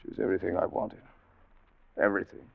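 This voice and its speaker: An actor playing an earnest, lovestruck ship's officer, emphatic and emotional, in an old-time radio drama. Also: male voice, deep voice, flat delivery, fast, creaky, full character voice. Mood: grief-stricken. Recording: muffled vintage radio recording.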